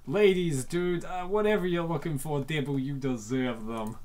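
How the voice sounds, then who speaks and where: A host reacting to and reading a comic aloud in an excitable, dramatic way, often repeating phrases. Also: mid-range voice, moderate pace. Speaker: host of comic dub show.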